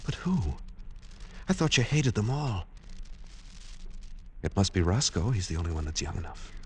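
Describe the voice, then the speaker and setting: A man's voice: fairly low pitch, sing-song, moderate pace, exaggerated voice. An earnest trapped young man. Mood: pleading. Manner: earnest, journal-style narration. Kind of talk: old-time radio drama narration and acting. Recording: old radio recording with clear music.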